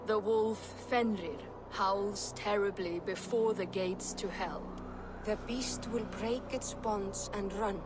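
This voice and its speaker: Women voicing the three ancient Norns, speaking prophecy slowly and solemnly in a video game cutscene. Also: female voice, medium pitch, steady tone, noisy background, natural voice. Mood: ominous. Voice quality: slow, solemn, ethereal.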